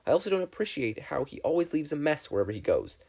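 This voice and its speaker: A man's voice: a little high, very animated, quick pace, creaky, darkish tone, voice pushed up, full character voice. Man playing a firm, stoic teenage boy. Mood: firm, disapproving. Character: blunt, stoic, law-abiding teenage boy student.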